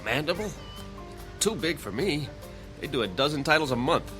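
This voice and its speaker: A man's voice: on the high side, big pitch swings, moderate pace, fairly bright tone, soft background music. An actor playing a skeptical, stubborn, wisecracking man in a radio drama, with a casual, blunt way of talking. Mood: wry, guarded.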